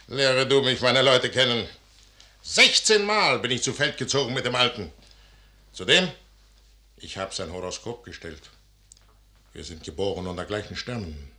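An actor playing a proud, secretive commander who trusts the stars, declaiming classical verse grandly and deliberately in a 1955 radio drama. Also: male voice, mid-range voice, animated, pushed and bright. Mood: imperious. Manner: grand declamatory delivery, deliberate emphatic phrasing. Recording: 1955 radio studio recording.

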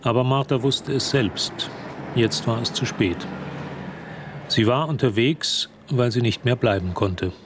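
An actor serving as the composed storyteller of a radio play, reading scripted literary narration. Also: male voice, medium pitch, unhurried, noisy background, close to an everyday voice.

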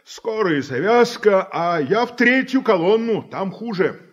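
An actor playing an older, proud state-farm director in a radio drama, wry and authoritative in short clipped phrases. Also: male voice, high-pitched, lively intonation, a little slow, husky, full character voice. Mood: brisk, commanding. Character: ageing, proud Soviet state-farm director, weary but commanding. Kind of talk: Soviet radio drama acting. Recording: dull, muffled old radio recording.

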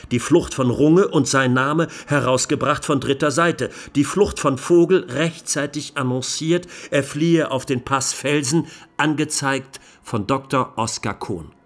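A man's voice: mid-range voice, fairly bright tone. A man playing a grave, indignant 1920s German defence lawyer, pleading with solemn, emphatic rhetoric in a radio documentary. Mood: indignant, insistent. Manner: solemn, rhetorical, emphatic oratory with deliberate pauses. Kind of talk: dramatized courtroom closing speech, radio documentary.

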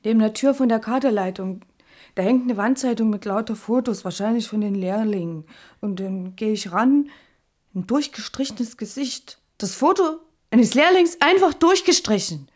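A woman's voice: fairly high pitch, expressive, normal speed, darkish tone, no echo, clean studio sound. An actress playing an earnest, dreamy working woman in a German radio play, speaking simply and hesitantly. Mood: earnest, agitated. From East German mountain village. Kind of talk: German radio play acting, intimate monologue and dialogue.

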